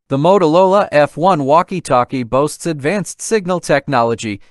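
A man's voice: on the high side, dry recording, studio quality. A man reading a scripted product review voice-over in a polished, promotional manner. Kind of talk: scripted narration.

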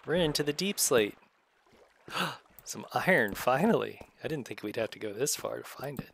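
A woman's voice: rumbling low, lively intonation, moderate pace, gravelly, slight background noise. A woman hosting a kids' gaming video, giving casual, encouraging and exclamatory commentary as they play. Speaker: gaming video host playing alongside a child. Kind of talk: casual gameplay commentary for kids.

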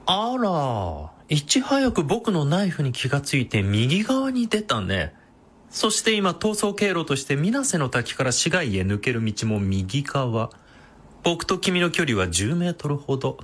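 An actor playing a vain, effeminate, sadistic knife-wielding villain in a radio drama, with mincing, taunting speech. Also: male voice, on the high side, sing-song, a little slow, husky, exaggerated voice. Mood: menacing, taunting. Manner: campy, mincing, feminine phrasing.

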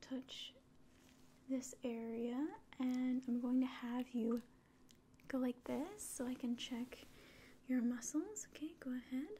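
A woman in her twenties doing a whispered ASMR roleplay in a soft, breathy, gentle and reassuring voice, close to the mic. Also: high voice, steady tone, slow, slight background noise. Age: 20s. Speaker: ASMR performer playing an alien medical examiner. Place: small indoor room.

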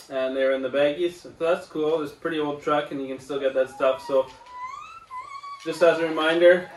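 A man in his forties hosting a casual hobby vlog, talking viewers through his work in a relaxed, chatty way from a sunroom at home. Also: fairly high pitch, a little hiss or hum, poor audio. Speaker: vlog host. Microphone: camera at a distance.

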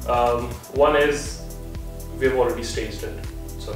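A man's voice: mid-range voice, fairly even intonation, slow, soft background music. A young man from Pakistan, telling funny stories to friends in a casual vlog, laughing often and restarting his sentences. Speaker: vlog host. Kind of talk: casual storytelling among friends. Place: living room in a flat, indoors. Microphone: far camera. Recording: very roomy, from a far-off camera mic.

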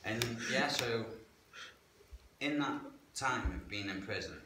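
A man's voice: medium pitch, animated, slow. A man tells his life story frankly and plainly, using local slang. He is seated in a church hall and recorded by a camera some distance away. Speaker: guest sharing life story. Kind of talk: candid personal testimony. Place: church hall, indoors, medium-sized. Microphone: far camera. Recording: echoey hall with background hiss.

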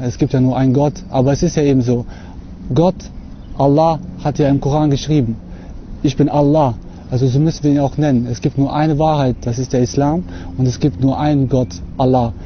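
A young man from Russia talking to camera outdoors on a lawn, earnest and hesitant, filling pauses often. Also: medium pitch, muffled recording, noisy background. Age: young adult. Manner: hesitant, many fillers, earnest. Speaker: convert giving a testimony. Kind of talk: unscripted talk to camera.

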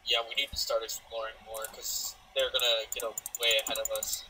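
A man's voice: mid-range voice, flat delivery, brisk. Teasing young man on a distant mic. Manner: teasing, rambling. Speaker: gaming friend. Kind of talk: casual gaming chat. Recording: distant mic with clear noise.

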